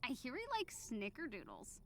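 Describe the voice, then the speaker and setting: A woman's voice: fairly high pitch, huge pitch swings, normal speed, creaky, fairly bright tone, heavily acted. An actress playing an upbeat young female hero in a video game, chatty, reassuring and playful. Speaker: voice actor. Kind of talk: casual video game dialogue acting.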